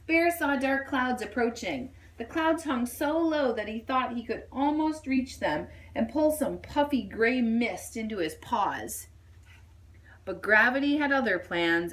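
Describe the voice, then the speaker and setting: A woman reads aloud from a children's storybook. Her voice is on the high side and very animated, and she takes her time over each phrase. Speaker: storybook reader.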